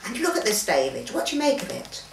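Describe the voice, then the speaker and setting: A curious, musing gentleman father from a storybook, voiced by a woman reader. The phrasing swings with interest and questions, heard from a little way off in a roomy space. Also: medium pitch, huge pitch swings, quick pace, vocal fry. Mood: intrigued, emphatic. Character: thoughtful, curious father, a gentleman amazed by animals. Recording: roomy, distant mic, faint background noise.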